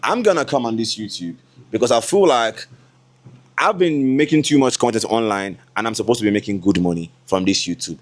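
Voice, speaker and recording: A young Nigerian man in his twenties chats to his viewers in a loose, laughing vlog voice. His tone sits a little low for a man. Now and then he slips into Yoruba asides. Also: lively intonation, a little fast. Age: 20s. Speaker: content creator. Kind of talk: casual vlog talk to camera.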